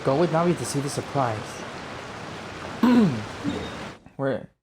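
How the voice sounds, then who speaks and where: Excitable male gamer, wildly swinging pitch. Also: slow pace, background noise. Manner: slangy, excitable, reacting aloud. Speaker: gaming video host. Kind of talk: casual let's play commentary. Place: home, near a barking dog.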